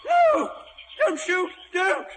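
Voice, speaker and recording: A terrified, half-wild castaway hermit in a radio drama. The voice is extremely high and piteous, ringing with reverb over faint noise. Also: male voice, very animated, drawn-out words, a bit bright, exaggerated voice. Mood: terrified, pleading. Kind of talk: vintage radio drama acting.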